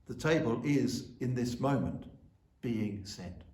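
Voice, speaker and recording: A man delivers a reflective sermon in a slow, solemn and deliberate way. His voice sits at an ordinary male pitch. It is heard in an echoey room, some distance from the microphone. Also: expressive, darkish tone. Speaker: church officiant giving a reflection.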